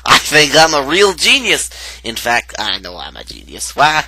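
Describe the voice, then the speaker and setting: Man voicing a boastful, animated boy genius. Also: mid-range voice, normal speed, no room sound, exaggerated voice. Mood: self-important. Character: boastful boy genius inventor, self-important.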